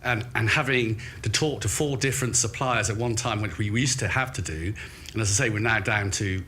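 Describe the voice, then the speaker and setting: Loud, bright-voiced British man on a panel. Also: fairly low pitch, fairly quick, a little hiss or hum. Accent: British English. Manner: loud, emphatic, anecdotal. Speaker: trade show organiser on a panel. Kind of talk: panel discussion. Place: event venue in central London.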